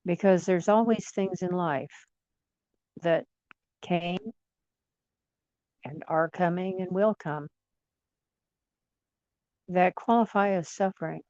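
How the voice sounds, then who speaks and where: A woman leading guided imagery in a soothing, gentle manner, leaving long pauses between phrases. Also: on the low side, dry recording, lo-fi sound. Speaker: guided meditation leader.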